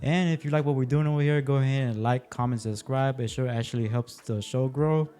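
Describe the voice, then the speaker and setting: Casual male podcast host, mellow voice. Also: mid-range voice, fairly even intonation, fairly quick, clean tone. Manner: informal, conversational. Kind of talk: casual interview chat.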